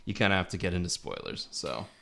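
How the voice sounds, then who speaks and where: A man's voice: low voice, big pitch swings, quick pace, vocal fry, sharp tone. A man co-hosting a casual movie review podcast, rambling and restarting his sentences, laughing often. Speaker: podcast co-host.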